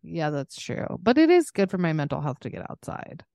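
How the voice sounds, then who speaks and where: A woman's voice: low-pitched, very animated, moderate pace, gravelly, dark tone, no echo, studio quality. A woman co-hosting a podcast in casual chat, talking in a chatty, joking, self-deprecating way. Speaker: podcast co-host.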